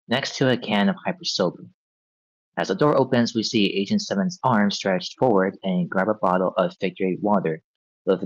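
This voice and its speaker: Male narrator, lowish pitch, muffled lo-fi recording, reading screenplay scene directions. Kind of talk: scripted narration at a table read. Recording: dull, lo-fi sound.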